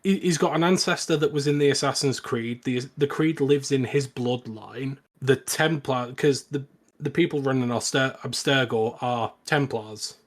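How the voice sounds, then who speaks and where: Male podcast co-host, average pitch, informal and opinionated, conversational film-review delivery. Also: moderate pace. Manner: opinionated, sweary. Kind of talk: casual film discussion and recap.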